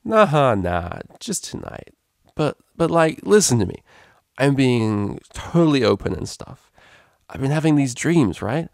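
A man's voice: mid-range voice, sing-song, measured pace, creaky, a little mellow, dry recording, clean studio sound, pitched above the performer's own voice. A man voicing a drunk, affectionate female unicorn DJ pony in a storybook reading, rambling and hesitant in informal speech. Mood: earnest, gushing.

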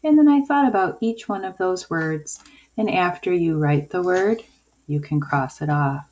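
A woman teacher giving a video lesson to young children in simple, deliberate phrases. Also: lowish voice, a little mellow. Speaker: early-years school teacher. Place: indoors at a table, homemade video. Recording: dull lo-fi sound, clear noise.

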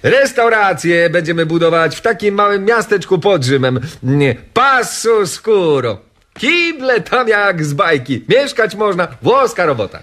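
High, lively male dreamer. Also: measured pace, exaggerated voice. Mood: dreamy, carefree. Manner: breezy, showing off Italian phrases. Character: dreamy labourer bound for Italy, romantic scatterbrain. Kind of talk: radio drama acting, comedy.

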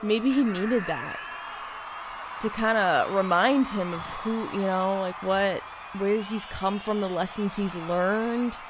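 A young woman giving casual, halting, sweary reaction commentary to game cutscenes, close on a desk microphone. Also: mid-range voice, noisy background. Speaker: gaming streamer. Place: indoor room at a desk. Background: game music and sound.

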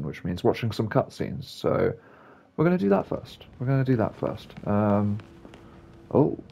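A man with a gravelly, husky voice giving casual, rambling commentary as he plays a video game. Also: fairly low pitch, dull audio. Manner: rambling, offhand. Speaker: gaming video creator.

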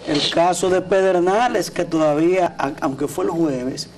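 A man's voice: a little high, moderate pace, a little mellow. A middle-aged man with a Dominican accent commenting on the news as co-host of a TV talk show. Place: TV studio at a desk. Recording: distant mic with clear background noise.

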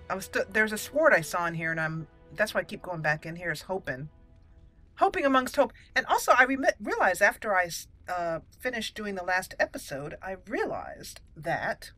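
A woman's voice: medium pitch, fairly bright tone. A woman giving casual game commentary as host, chatty and giggly, musing aloud as she plays.

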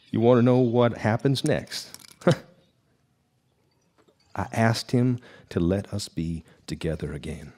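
Man playing a very deep-voiced, haunted drinker. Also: expressive, slow, long pauses, mellow. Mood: bitter, ominous. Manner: brooding, theatrical storytelling. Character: haunted, bitter, hard-drinking male guitarist telling his tale. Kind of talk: noir radio drama monologue. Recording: live stage recording with background noise.

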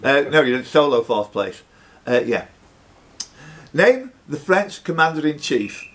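Higher-voiced male quiz master. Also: sing-song, a little slow, a bit bright. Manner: jokey, teasing banter. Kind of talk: live pub quiz hosting, reading questions. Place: social club bar room.

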